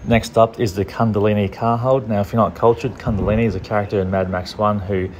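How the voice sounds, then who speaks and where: A young man from a strongman gym, giving a relaxed, practical training tutorial in plain everyday words. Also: on the low side, fast, slight background noise. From Australia. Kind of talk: casual training tutorial voice-over.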